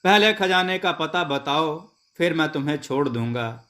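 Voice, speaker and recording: A commanding eldest brother in a storybook folk tale, as a male narrator puts him on. He speaks slowly and firmly. His manner is practical and matter-of-fact, that of someone used to giving orders. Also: a little high, expressive, sharp tone. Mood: firm threat.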